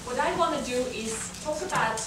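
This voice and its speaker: A woman with a German accent gives a university talk, pausing on fillers and restarting her phrases as she goes. She is recorded from far off in a large, very reverberant lecture room. A steady hum of background noise sits under her voice. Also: high voice. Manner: hesitant, many fillers and restarts. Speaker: guest lecturer. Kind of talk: academic talk to students.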